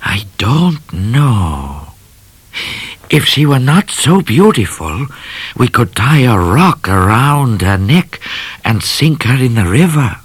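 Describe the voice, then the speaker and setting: A man voicing a soft-spoken clever cat. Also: mid-range voice, sing-song, measured pace, slightly rough, no echo, voice pushed up. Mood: earnest, hushed. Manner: soft, hushed, often whispering.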